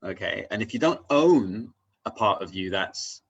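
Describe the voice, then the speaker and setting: A man talking casually and reflectively as a guest, haltingly searching for his words and often checking in with "right?". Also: fairly low pitch, animated, husky. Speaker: interview guest on spirituality.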